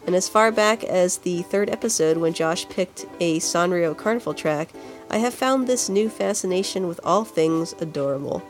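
A woman with a congested, nasal voice co-hosting a podcast in a casual, chatty style. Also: on the low side. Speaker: podcast co-host. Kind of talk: casual video game music podcast chat.

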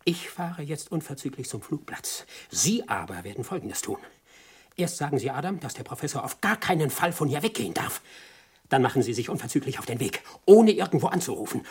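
An actor playing a brusque, suspicious Soviet comrade with a husky voice in a German radio play. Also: male voice, on the high side, exaggerated voice. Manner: brusque, authoritative. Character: commanding, suspicious Soviet comrade barking orders.

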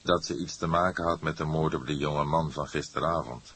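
Male reader as an older police detective, very low voice, husky, weary, somber, measured, crime audiobook. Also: fairly bright tone, heavily acted. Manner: languid, measured.

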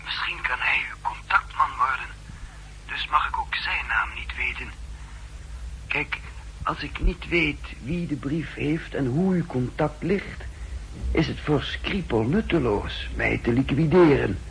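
An actor playing a secretive, calculating male intelligence official in a halting, confidential 1960s radio drama style. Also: medium pitch, gravelly, a bit bright, slight background noise, poor audio. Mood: guarded, urgent.

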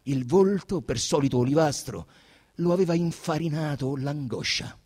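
A man narrating a literary text theatrically, with solemn, deliberate, dramatic phrasing. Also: fairly high pitch, darkish tone, husky. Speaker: narrator and actor.

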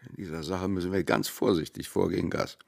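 An actor playing a shrewd, cautious big-city lawyer in a radio drama, measured and insistent, with a creaky voice. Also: male voice, sits low, steady tone.

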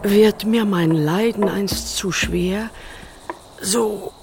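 Passion play woman: mid-pitched, slow, dramatic sacred recitation over music. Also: noisy background. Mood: devout pleading.

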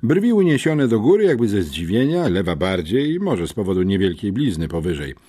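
Mężczyzna grający rezolutnego, drobiazgowego uczniaka-detektywa. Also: male voice, lowish voice, big pitch swings, moderate pace, dark tone, husky, voice pushed up. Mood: pedantic, matter-of-fact. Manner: meticulous, matter-of-fact, eager. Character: earnest, pedantic, talkative schoolboy amateur detective. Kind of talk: audiobook character reading.

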